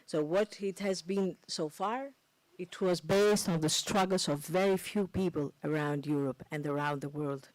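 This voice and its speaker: Female speaker at a seminar, lower register, hesitant phrasing, close dry mic. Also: a little mellow, dry recording, low-quality recording. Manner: halting, searching for words with many fillers. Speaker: speaker presenting a street work organisation. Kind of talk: formal presentation with a read-out closing text. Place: press club seminar room.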